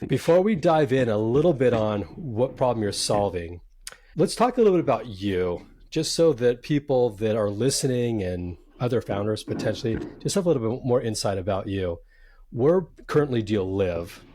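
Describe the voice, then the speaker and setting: A man hosting a startup podcast, asking his guest questions in a measured, friendly way. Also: mid-range voice, expressive, mellow. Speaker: podcast host. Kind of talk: podcast interview.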